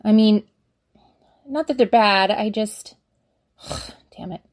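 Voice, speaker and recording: A woman's voice: mid-range voice, slow, dry recording, studio quality, close to an everyday voice. A young woman playing a flustered, chatty witch in an audio drama, rambling awkwardly and correcting herself as she dictates a letter. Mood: nervous, rambling. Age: young adult. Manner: rambling, awkward, self-correcting, sighing asides. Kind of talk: audio drama monologue, conversational.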